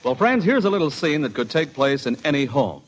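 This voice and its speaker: A man's voice: mid-range voice, sing-song, a little fast. A man announcing a scripted commercial in the style of 1940s radio advertising.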